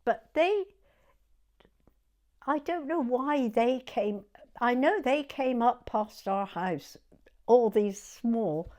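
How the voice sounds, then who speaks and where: A woman in her nineties from Dorset with a southern English accent, reminiscing in an interview in a hesitant, halting way and repeating words. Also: a little high, animated, darkish tone. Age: 90s. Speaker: oral history interviewee.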